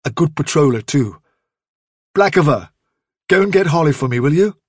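A man's voice: on the high side, lively intonation, normal speed, slightly rough, no room sound, clean studio sound. A man voicing a male rabbit leader who questions urgently and firmly. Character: male rabbit leader, earnest, firm and inquisitive.

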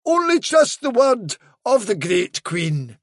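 Sly fairy dog herald, storybook character, lofty, flattering, theatrical, very slow, swooping high pitch. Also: male voice, no room sound, studio quality, exaggerated voice. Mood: coaxing, lofty. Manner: grandiose, drawn-out, theatrical flattery. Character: wily trickster posing as grand, flattering fairy dog messenger. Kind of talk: dramatic storybook reading.

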